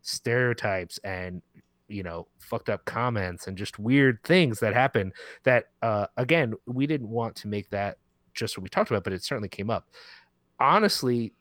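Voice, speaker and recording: A man's voice: lowish voice, expressive, moderate pace, fairly bright tone, dry recording. A man talking casually in an interview as a podcast guest, informal and rambling, often correcting himself mid-sentence. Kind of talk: casual conversational interview talk.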